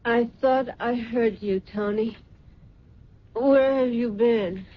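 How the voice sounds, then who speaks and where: Proud bitter middle-aged mom, cursed designer, slow theatrical radio drama delivery, dull noisy old recording. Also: female voice, on the high side. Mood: guarded, weary. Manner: theatrical, anguished, bitterly sarcastic.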